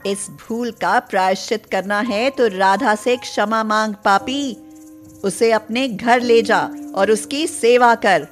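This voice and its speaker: A woman playing a protective, commanding mother goddess in an animated devotional tale, solemn and maternal in manner. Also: fairly high pitch, slow, soft background music. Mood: stern. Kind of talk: animated devotional story acting.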